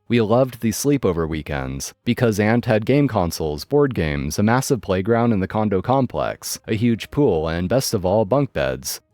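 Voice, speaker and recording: A man narrating online stories in a lively, dry storytelling manner. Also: fairly low pitch, no room sound.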